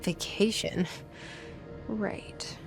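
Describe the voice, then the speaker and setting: An actress playing a guarded, determined young agency director in a video game, with an understated, dry manner. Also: female voice, very slow, over music. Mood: dry, wary. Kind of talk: video game cutscene dialogue.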